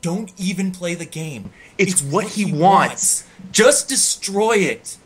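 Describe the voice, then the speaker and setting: A man voicing a terrified, broken young man, pleading haltingly in a horror story. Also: high voice, animated, breathy edge, pitched above the performer's own voice, full character voice. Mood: pleading, hopeless. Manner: halting, pleading, drawn-out words. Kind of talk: dramatic horror story reading.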